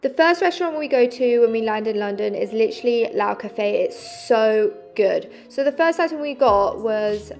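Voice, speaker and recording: A woman in her twenties chatting casually and expressively to a vlog, croaky from a cold and sore throat. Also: fairly high pitch. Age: 20s. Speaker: vlogger. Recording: clear background noise.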